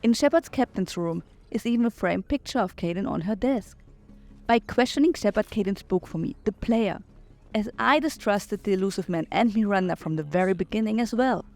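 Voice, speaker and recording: A woman's voice: mid-range voice, fairly quick, darkish tone. A woman giving her opinions in commentary on a video game playthrough.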